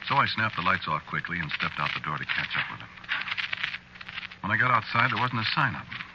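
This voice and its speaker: Low-voiced, meek husband. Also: male voice, big pitch swings, quick pace. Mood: reflective, uneasy. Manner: hesitant, mild. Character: meek, worried, henpecked working husband and storyteller. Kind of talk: 1940s radio drama, conversational first-person narration. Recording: very noisy, muffled 1940s radio recording.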